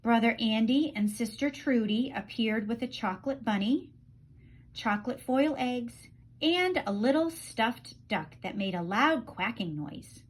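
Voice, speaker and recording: A woman with a fairly high voice reads a children's story aloud. Her delivery is expressive storytelling, with phrase endings that tend to soften. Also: a little slow.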